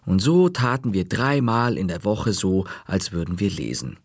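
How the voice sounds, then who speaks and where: A man narrating a children's story in a lively, expressive audiobook reading. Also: low-pitched, normal speed, loud music behind the voice.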